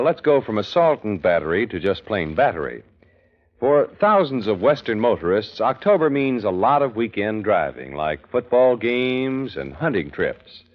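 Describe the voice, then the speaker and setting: An American man announcing in polished, emphatic vintage radio style, reading scripted narration and sales copy. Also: medium pitch. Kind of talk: 1940s-50s scripted radio announcing and commercial. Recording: muffled vintage radio broadcast.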